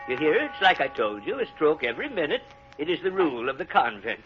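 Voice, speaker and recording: An actor playing an excitable, deferential old French gardener in an old radio drama, elderly-sounding, flustered and garrulous. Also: male voice, on the high side, fast, soft background music. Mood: solemn. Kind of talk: 1940s radio drama acting. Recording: muffled, noisy old radio recording.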